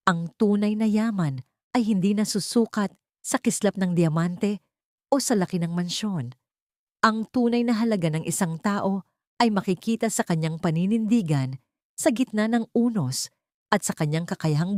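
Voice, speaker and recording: A woman narrating a sentimental story from a script in a measured, solemn, poetic manner. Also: mid-range voice, darkish tone, dry recording, clean studio sound.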